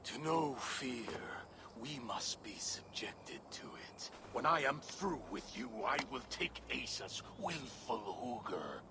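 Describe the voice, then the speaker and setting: An actor playing a cruel, fanatical villain in a video game, with a theatrical, taunting delivery. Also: male voice, mid-range voice, sing-song, slow, background noise. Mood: menacing. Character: menacing fanatical villain, cruel devoted zealot.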